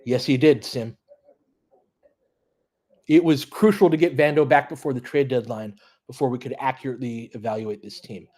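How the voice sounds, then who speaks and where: A man with a mid-pitched, somewhat husky voice hosts a live basketball stream. He talks casually and analytically, moving between calling the action and chatting informally with his listeners. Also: moderate pace, pauses often, darkish tone. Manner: conversational, analytical, informal with mild swearing. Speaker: host of a fan audio play-by-play stream.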